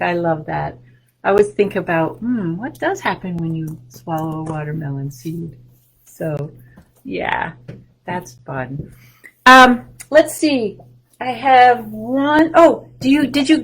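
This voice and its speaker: Slow, sing-song storytime librarian woman. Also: fairly low pitch. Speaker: children's librarian. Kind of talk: storytime reading and singing for kids. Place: home room with bookshelves. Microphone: webcam on a video call.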